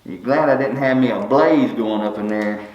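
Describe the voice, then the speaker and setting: A man's voice: medium pitch, normal speed, a little mellow, soft background music, lightly acted. A man playing a folksy, bald country fellow who reads a holiday poem aloud, tripping over words and cracking jokes between verses. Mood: joking. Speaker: storyteller reading aloud. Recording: roomy, slightly dull recording.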